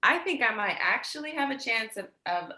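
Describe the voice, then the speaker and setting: A woman's voice: a little high, animated, moderate pace, sharp tone. A woman acting in a play reading as a chatty, affectionate sister who teases in a casual, breezy way. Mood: upbeat. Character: chatty, teasing, affectionate sister making plans.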